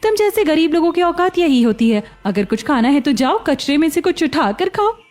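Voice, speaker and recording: A woman's voice: sits high, big pitch swings, normal speed, darkish tone. A woman voicing a haughty, cruel rich young woman in a cartoon story. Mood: scornful.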